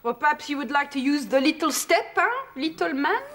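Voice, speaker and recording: A woman's voice: high voice, animated, brisk, heavy background noise. A woman playing a condescending French airport security officer with a French accent, mocking and sing-song. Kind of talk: sketch comedy acting.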